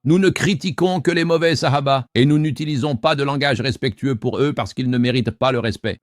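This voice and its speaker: A man hosting a call-in debate, forceful and argumentative, who often cuts in on his callers. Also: medium pitch, a little fast, dry recording, clean studio sound. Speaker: host.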